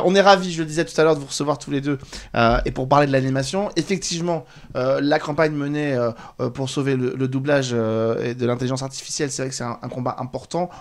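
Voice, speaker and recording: A man hosting a talk show, speaking off the cuff with halting phrasing and repeated words. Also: medium pitch. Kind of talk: unscripted studio presenting.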